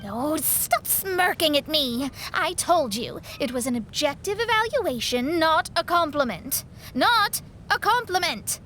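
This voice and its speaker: High-voiced, proud young astrologer. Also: female voice, very animated, a little slow, hardly any pauses, sharp tone, slight background noise, full character voice. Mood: flustered, defensive. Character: proud young female astrologer, earnest and guarded. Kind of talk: anime-style video game voice acting.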